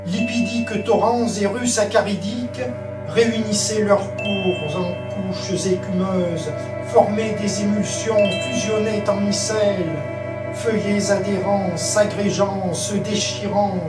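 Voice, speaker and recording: Woman's slow, distant, solemn poetic declamation. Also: mid-range voice, fairly even intonation. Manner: grave, measured, emphatic phrasing. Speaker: reciter of an epic poem. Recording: roomy echo, distant voice, music. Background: prominent musical backing.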